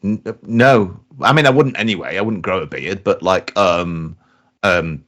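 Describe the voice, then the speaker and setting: A man's voice: low-pitched, a little slow, a bit bright. A man co-hosting a casual comedy podcast, hesitant, with many stammered restarts and giggles. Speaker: podcast co-host. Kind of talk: casual banter.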